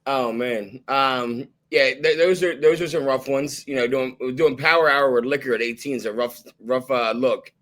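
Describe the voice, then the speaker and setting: A man in his thirties hosting a podcast, laid-back and quick to laugh, swearing casually. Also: medium pitch, a bit bright. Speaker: podcast host. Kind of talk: casual interview chat.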